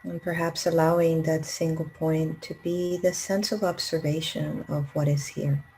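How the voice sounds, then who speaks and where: A woman leading a guided meditation in a calm, gentle, unhurried manner with long pauses. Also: low voice, slight background noise, poor audio. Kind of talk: guided meditation, slow spoken instructions.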